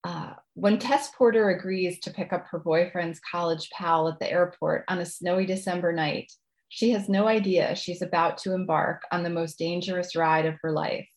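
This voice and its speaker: A woman with a slightly low voice speaks as a guest author. Her manner is polite and modest. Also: fairly even intonation, moderate pace, poor audio. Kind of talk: casual interview chat, then reading own writing aloud.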